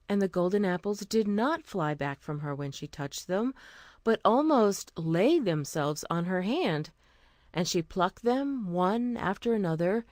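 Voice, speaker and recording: A woman narrating a scripted fairy tale as a storyteller, expressive and deliberate. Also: medium pitch, no room sound, clean studio sound.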